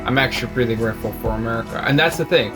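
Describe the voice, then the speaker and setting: A man who grew up in Russia, co-hosting a casual video chat in a relaxed, reflective way. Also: mid-range voice, racing, over music. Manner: relaxed, reflective, talks about faith. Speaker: co-host.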